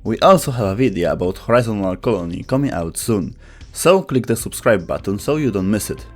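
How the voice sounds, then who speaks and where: A man's voice: lowish voice, lively intonation, a little fast. A man reading scripted explainer narration as the narrator of a video. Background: background music.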